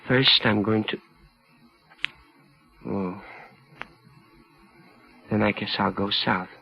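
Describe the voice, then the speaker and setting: An actor playing a brooding, obsessive killer escaped from an asylum, speaking deliberately and repeating words, in 1940s radio suspense. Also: male voice, lowish voice, steady tone, creaky, husky, dull audio, exaggerated voice. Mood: quiet menace.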